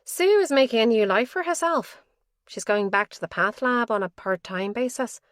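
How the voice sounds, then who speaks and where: A brisk young policewoman from an audiobook thriller, wry and lively, her lines lifted above the narration, voiced by a woman. The sound is close and dry. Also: on the high side, dry recording, voice pushed up, lightly acted.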